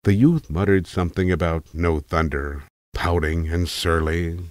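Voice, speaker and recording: A man narrating a literary tale in a measured, wry, precise manner, as a voice actor reading from a script. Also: deep voice, no echo, clean studio sound.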